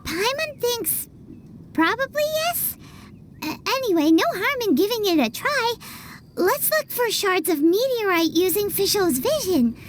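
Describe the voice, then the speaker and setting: A woman voicing a tiny, excitable female fairy companion in a video game, chatty and eager, referring to herself in the third person. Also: extremely high pitch, measured pace, background noise, exaggerated voice. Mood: chirpy, eager. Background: soft game music.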